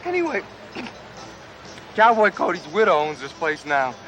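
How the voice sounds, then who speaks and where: An eager car salesman, high and chirpy with folksy patter. Traffic and street noise fill the open-air lot around him. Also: wildly swooping pitch, measured pace, a bit bright, full character voice.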